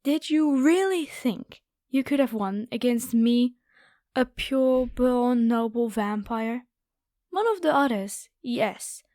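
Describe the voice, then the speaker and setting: Haughty, high-voiced predatory vampire girl, acted by a woman. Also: lively intonation, takes it slowly, mellow, no room sound, clean studio sound. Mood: mocking, domineering. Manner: taunting, theatrical, playful. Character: haughty, predatory noble vampire girl hunting human prey. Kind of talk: ASMR roleplay acting.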